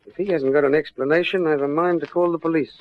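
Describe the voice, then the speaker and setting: An actor playing a puzzled, worried shopkeeper in a classic radio detective drama. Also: male voice, on the high side, fairly even intonation, fast talker, lightly acted. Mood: uneasy. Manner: anxious, bewildered. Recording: muffled vintage radio drama recording.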